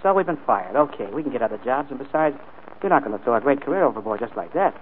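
An American actor playing a sly, cheeky manservant and scheming brother in a 1940s radio drama, slangy and mock-deferential. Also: male voice, medium pitch, lively intonation, fast talker, husky. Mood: coaxing, brash. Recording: tinny, band-limited 1940s radio broadcast.

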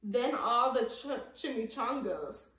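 A woman's voice: fairly high pitch, lively intonation, slow pace, fairly bright tone, a little hiss or hum. A young woman narrating a children's picture book as a preschool storytime reader, with asides to the listeners. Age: young adult. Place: living room, indoors. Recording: roomy sound from camera distance.

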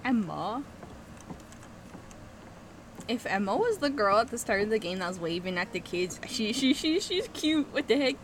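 A young woman streamer giving excitable, giggly commentary while playing, on a headset mic. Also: fairly high pitch, moderate pace, long pauses, slight background noise. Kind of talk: casual gameplay commentary.

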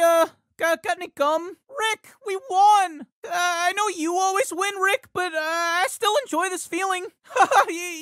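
An anxious teenage boy for a video game, his voice pitched very high and bright, and he keeps stumbling over his words in a jittery stammer. It sounds like a dry studio recording with no room around it. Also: dry recording, full character voice. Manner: stammers and repeats words, cracks into yelps. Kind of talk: game voice lines. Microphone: studio microphone.

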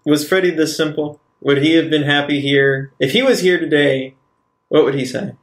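A man's voice: a little high, moderate pace. A man playing a tabletop roleplaying game, speaking in character, with roomy echo on the recording.

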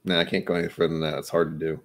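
A man's voice: a bass voice, flat delivery, racing, slight background noise. A man in his thirties chatting casually on a home livestream, rambling and self-mocking, sometimes trying out joke accents. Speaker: streamer. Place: home room with shelves of bottles.

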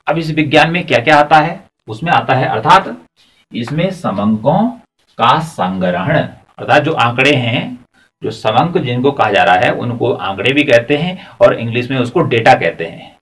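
A man in his forties gives a blackboard lecture into a lapel mic, his voice rising and falling in a lively, animated way. He explains patiently, repeats key points, and keeps asking the class rhetorical questions. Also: mid-range voice, moderate pace. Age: 40s. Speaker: teacher. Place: classroom at a chalkboard.

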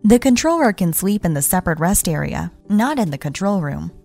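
A woman's voice: fairly low pitch, big pitch swings, brisk, creaky, a little mellow. Woman narrating an explainer over music. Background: background music.

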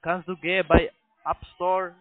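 A man who is not a native speaker, giving instructions on a phone call in halting, broken sentences as a call centre agent. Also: high voice, level tone, no echo. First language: not German. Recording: tinny narrowband phone line.

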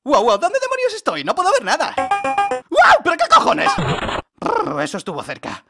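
A man voicing an excitable, panicky, foul-mouthed talking dog in a parody cartoon dub. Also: very high-pitched, normal speed, vocal fry, exaggerated voice. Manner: excitable, startled. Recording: music behind the voice.

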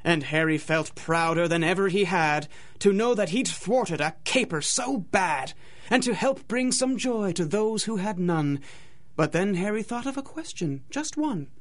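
A man's voice: high voice, expressive, unhurried. A man narrating a radio drama in theatrical, sing-song rhyming storybook verse.

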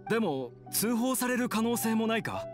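A regretful young man in an anime game. His pitch moves expressively while his manner stays subdued and apologetic. Music plays faintly behind him. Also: big pitch swings, moderate pace. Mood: worried. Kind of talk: anime-style video game acting.

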